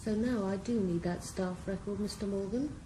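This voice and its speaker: A woman playing a cool, menacing crime boss in a radio drama, speaking in measured tones. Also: medium pitch, mellow, a little hiss or hum, lightly acted, low-quality recording. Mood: cold. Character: cold, calculating female crime boss.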